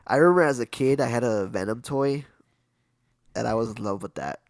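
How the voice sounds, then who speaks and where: A young man co-hosting a podcast, with a hoarse, raspy voice, chatting casually through a film review with quick asides. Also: medium pitch, dry recording, clean studio sound. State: hoarse from illness. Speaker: podcast co-host.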